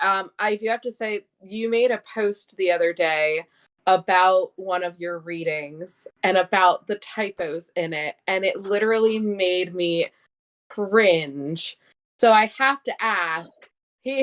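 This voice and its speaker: A female co-host who laughs as she talks and pauses over her words while putting a question to a guest. Her pitch sits in the middle of the range. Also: fairly bright tone. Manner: giggly, halting questions. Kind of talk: casual interview chat.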